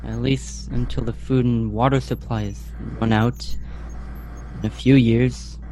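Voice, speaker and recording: A woman's voice: very deep voice, fairly even intonation, measured pace, mellow, a little hiss or hum, rough recording. A woman playing an earnest young boy aboard a colony ship in a sci-fi radio play. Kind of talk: science fiction radio play acting.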